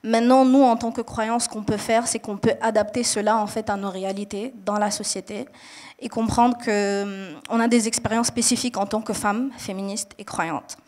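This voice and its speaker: A woman's voice: mid-range voice, steady tone, normal speed, darkish tone. A young woman from Mali and Niger, raised in Saudi Arabia, answering a panel question in an earnest, explanatory way with frequent hesitations and restarts.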